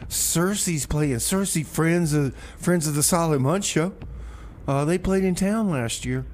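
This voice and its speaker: A man's voice: fairly high pitch, a little slow, darkish tone. A man hosting a community radio music show, talking casually like a DJ with wry, offhand asides. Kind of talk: casual radio DJ talk, announcing songs and local events.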